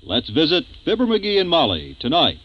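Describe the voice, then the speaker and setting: A man's voice: a little high, wildly swooping pitch, moderate pace, husky. An American man announcing from a script in formal, polished 1950s radio style. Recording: dull, noisy 1950s radio recording.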